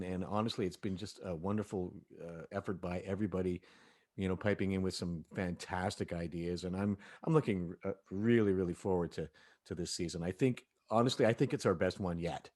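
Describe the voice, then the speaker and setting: A man who is an audio drama cast member, chatting casually in a halting, rambling, enthusiastic way. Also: deep voice, steady tone, a little mellow. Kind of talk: casual group chat.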